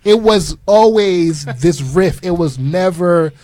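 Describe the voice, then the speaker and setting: A man's voice: sits high, animated, a little mellow, no room sound. Haitian-born man, dry studio voice. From born in Haiti, raised in Newark, New Jersey. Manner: spells out web addresses slowly and carefully. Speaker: guest entrepreneur and artist. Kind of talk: casual radio interview.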